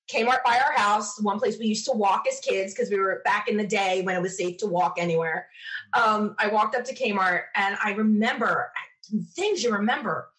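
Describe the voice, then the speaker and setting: A woman's voice: medium pitch, a bit bright, rough recording. A middle-aged woman chatting casually as a podcast guest, telling stories in an opinionated, emphatic way. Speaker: podcast guest being interviewed. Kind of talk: casual conversational storytelling.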